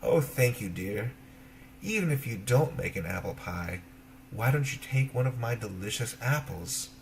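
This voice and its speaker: A man's voice: mid-range voice, animated, moderate pace, vocal fry, slight background noise. A man voicing a wicked queen disguised as a sly old beggar woman in a storybook, coaxing and falsely sweet. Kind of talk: storybook character voice.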